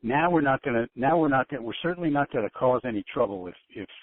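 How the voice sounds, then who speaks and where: A man giving informal commentary as a panelist on a telephone conference call, haltingly and repeating his phrases. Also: medium pitch, expressive, dry recording. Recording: narrowband tinny phone line.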